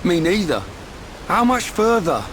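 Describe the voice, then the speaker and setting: An actor playing a brash, blunt young warrior who grumbles in a video game cutscene. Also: male voice, high-pitched, very animated, unhurried, a bit bright, a little hiss or hum, exaggerated voice. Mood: grumbling. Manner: blunt, complaining, informal.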